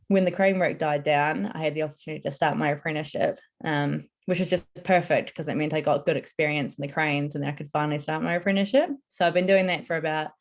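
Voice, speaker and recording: Deep-voiced young New woman. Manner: enthusiastic, earnest. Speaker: interviewee, construction apprentice. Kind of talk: casual interview answers.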